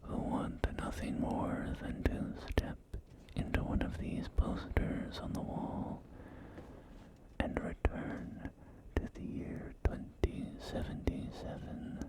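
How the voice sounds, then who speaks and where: A man playing a polite, salesy post-apocalyptic real estate agent in a whispered ASMR roleplay, with hesitant, soft-spoken patter. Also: slow, lightly acted. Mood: proud, soothing. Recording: close whisper under loud music. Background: loud music.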